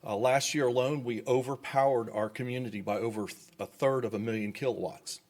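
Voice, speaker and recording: A man's voice: medium pitch, steady tone, brisk, a little mellow. A man speaking as a developer at public comment in a government meeting, enthusiastic and rambling with repeated words.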